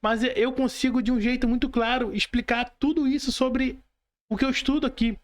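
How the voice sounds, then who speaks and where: A woman's voice: sits high, steady tone, moderate pace. A Brazilian woman hosting a podcast, chatting casually and motivationally, repeating phrases and putting rhetorical questions to listeners. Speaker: podcast host, mentor.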